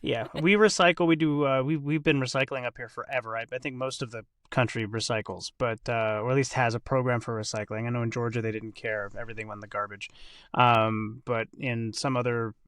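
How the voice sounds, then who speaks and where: A man's voice: medium pitch, fairly even intonation, brisk, fairly bright tone. A man talking casually as a podcast host in a loose group chat.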